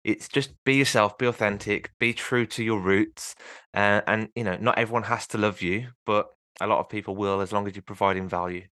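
A man's voice: fairly low pitch, steady tone, a little fast, bright, forceful tone, dry recording, clean studio sound. Encouraging Birmingham-accented male host. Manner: encouraging, with frequent affirmations. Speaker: interview host. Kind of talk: conversational interview.

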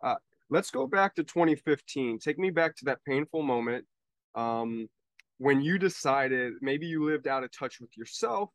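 A man's voice: mid-range voice, lively intonation, a little slow, no echo. A man hosting a podcast interview, speaking earnestly and restarting phrases as he sets up his questions. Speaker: podcast host.

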